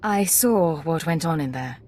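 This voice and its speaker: A woman's voice: sits low, lively intonation, unhurried, a little mellow, faint music behind. A woman voicing a cool, self-assured secret agent in a video game, terse and controlled. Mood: cool, determined.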